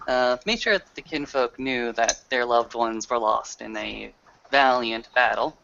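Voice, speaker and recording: A man's voice: medium pitch, unhurried, vocal fry, pushed and bright. A man with a casual, colloquial, sweary manner, roleplaying in character and narrating a tabletop game. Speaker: game master. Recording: muffled audio with noticeable background hiss.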